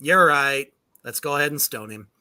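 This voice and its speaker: A man's voice: a little high, normal speed, noisy background. A man hosting a casual livestream chat with a band, loose and profane, laughing often. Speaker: podcast host.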